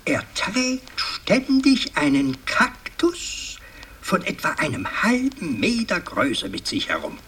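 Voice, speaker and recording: An actor playing a stern, fussy older aristocratic patriarch who speaks formally and haltingly in a German radio drama. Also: male voice, high-pitched, wildly swooping pitch, sharp tone, husky, recorded from a distance, exaggerated voice. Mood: exasperated, indignant. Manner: formal, pompous, halting with hums and pedantic repeats. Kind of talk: radio drama monologue, addressing a family meeting.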